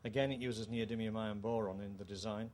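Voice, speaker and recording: A middle-aged man with a British accent gives a measured, formal technical explanation of a product. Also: fairly low pitch, fairly even intonation, a little mellow. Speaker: company co-founder presenting a product. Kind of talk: technical product presentation in an interview. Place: indoor trade show room. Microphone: handheld microphone. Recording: clear background noise, show-room ambience.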